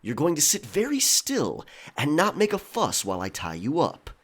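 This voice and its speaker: A man playing a stern, coolly menacing pirate quartermaster in an audio drama, with a measured, matter-of-fact drawl. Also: a little high, wildly swooping pitch, a bit bright, slightly rough, full character voice. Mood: calm menace.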